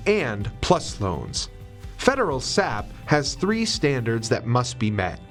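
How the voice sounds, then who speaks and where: A man reading scripted explainer narration in a clear, instructional manner as a voice-over narrator. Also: on the high side. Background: music.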